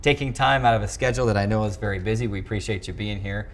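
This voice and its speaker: A man hosting a sports talk show in a studio, interviewing conversationally with wordy, halting phrasing and repeated words. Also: on the low side. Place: television studio.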